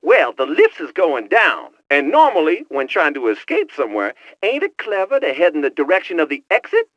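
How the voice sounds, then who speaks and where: An actor with an American accent voicing a wisecracking, streetwise, excitable tech sidekick in a video game, with slangy, cocky banter. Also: male voice, on the high side, normal speed, no echo, muffled recording. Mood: cocky, instructive. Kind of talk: video game cutscene voice acting.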